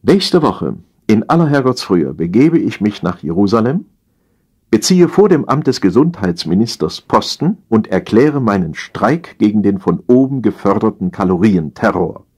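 Low husky male audiobook reader. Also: very animated, moderate pace, darkish tone. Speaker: audiobook narrator. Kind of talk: dramatic reading of satirical stories.

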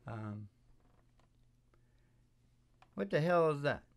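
A man's voice: a little high, big pitch swings, extremely slow, gravelly. A middle-aged man chatting casually and bluntly between songs as a show host, rambling and swearing, close on a stage microphone. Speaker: singing podcast host, musician. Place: small dim curtained indoor stage. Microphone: stand microphone close to the mouth. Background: acoustic guitar.

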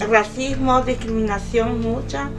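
A woman's voice: steady tone, unhurried. A woman in her fifties from Peru, answering interview questions with emphatic, earnest speech. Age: 50s. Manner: emphatic, rising pitch when stressing points. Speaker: interviewed migrant. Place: small indoor office. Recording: distant mic with background guitar music.

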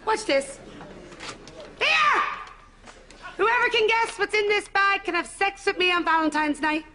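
A woman's voice: very high-pitched, a little slow, bright, forceful tone, heavily acted. A woman playing a cheeky, bold, man-hungry young Irish woman in a sitcom, brazenly calling out. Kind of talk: live sitcom acting. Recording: noisy TV studio sound.

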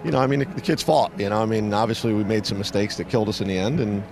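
Fast-talking coach, lots of "you know". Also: male voice, lowish voice, gravelly, over music. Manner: rapid, filler-heavy with "you know, I mean". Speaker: head football coach. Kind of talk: postgame interview. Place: outdoors on a football field at night. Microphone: handheld reporter mic.

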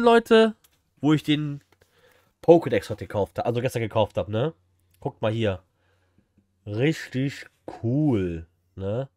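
A man's voice: mid-range voice, no echo, clean studio sound. Young man streaming, casual and slangy. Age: young adult. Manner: slangy, informal, chatty. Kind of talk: casual live reaction chat. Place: small indoor room at home.

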